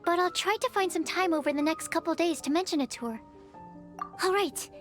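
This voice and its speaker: Really high pitched shy science girl for a video game, careful n analytical, bit hesitant, music behind. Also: fairly quick, a bit bright. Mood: earnest, polite. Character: shy, studious young female alchemist and scholar.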